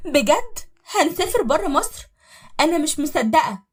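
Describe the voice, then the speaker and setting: A woman's voice: fairly high pitch, animated, fast talker, no room sound, studio quality, voice pushed up. A woman with an Egyptian accent voicing a scheming, jealous second wife who taunts in a sly, needling way. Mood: excited, upset. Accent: Egyptian Arabic.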